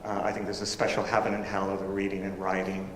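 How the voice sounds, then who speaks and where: Male academic panelist, reflective and halting, recorded in a roomy hall. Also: deep voice, monotone. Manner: thoughtful, halting with repeated restarts. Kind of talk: conference remarks. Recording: roomy hall with long reverb, faint noise.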